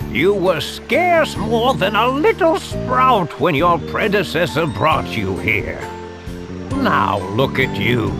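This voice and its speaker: Feeble old wise man for a game, super slow n creaky, kindly, old-timey phrasing. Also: on the high side, heavily acted. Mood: kindly, musing. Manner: theatrical, drawn-out, old-fashioned phrasing. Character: feeble, kindly old male sage, gently whimsical. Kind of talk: video game voice acting. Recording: music under the voice.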